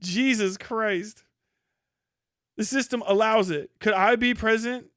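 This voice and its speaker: A man with a high, clean and smooth voice, recorded dry and close. He hosts a livestream in a casual reaction style, blunt and mocking, with plenty of swearing. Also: slow pace, fairly bright tone, dry recording. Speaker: livestream host. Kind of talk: casual live reaction commentary, reading posts aloud.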